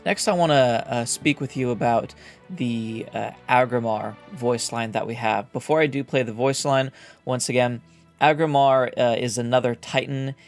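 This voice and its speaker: A man hosting a gaming video, explaining game lore in a casual, somewhat hesitant way. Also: mid-range voice. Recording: voice over background music.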